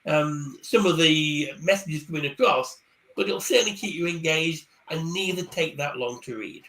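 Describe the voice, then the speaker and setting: A man on a video call, giving casual career-book advice, often stumbling over repeated words before rushing ahead. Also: a little high, bright, forceful tone, low-quality recording. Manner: hesitant, repeats words, then speeds up. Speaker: career coach guest speaker. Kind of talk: casual chat on a live online panel.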